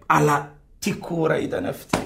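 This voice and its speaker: An actor playing a courteous, self-absorbed gentleman guest in a radio comedy, speaking in a fussy, pompous manner. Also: fairly high pitch, level tone, gravelly, slight background noise. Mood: confiding. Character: self-absorbed, courteous male guest boring hosts with ailments. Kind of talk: theatrical radio comedy acting.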